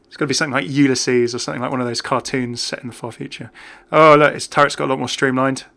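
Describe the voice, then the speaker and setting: A man's voice: mid-range voice, steady tone, fast talker, vocal fry, bright, forceful tone. A British man hosting a gaming video with chatty, casual, off-the-cuff commentary. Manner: chatty, easily amused.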